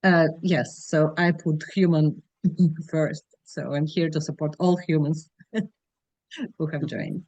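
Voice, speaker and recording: Brief: a woman who speaks very slowly and gently, on a dry video call. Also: deep voice, level tone, dry recording, poor audio. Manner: slow, gentle, with soft laughs. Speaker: human resources leader.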